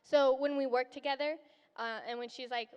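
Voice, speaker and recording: A high-voiced young woman in casual on-stage chat, bubbly and quick to giggle. Her voice rings out with the long reverb of a big convention hall. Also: steady tone, darkish tone, clean tone, lo-fi sound. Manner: bubbly, giggly, talks over her twin. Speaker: guest creator.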